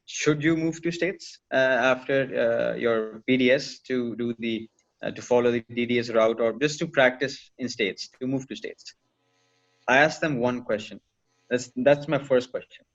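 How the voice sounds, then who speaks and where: A man's voice: mid-range voice, muffled recording. A man, a dentist and teacher, answering interview questions earnestly and step by step, with dry asides. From Pakistan. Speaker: dentist and teacher, podcast guest.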